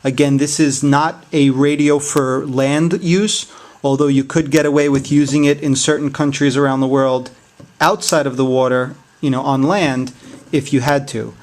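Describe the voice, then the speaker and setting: A man giving a casual, unscripted product review in a hesitant, halting way. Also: medium pitch, steady tone.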